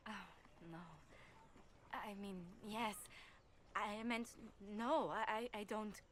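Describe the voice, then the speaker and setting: A woman playing a refined, polite and formal diplomat with a soft heart in a video game. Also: mid-range voice, steady tone, slow pace, slight background noise, natural voice. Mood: flustered. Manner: polite, formal, easily flustered. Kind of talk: dramatic video game dialogue. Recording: game audio with background ambience.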